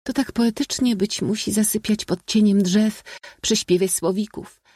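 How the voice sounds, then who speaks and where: Man putting on a high-pitched coy young princess. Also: steady tone, measured pace, a little mellow, breathy edge, no echo, clean studio sound. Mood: dreamy, curious. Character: young princess, coy, bashful, politely reserved.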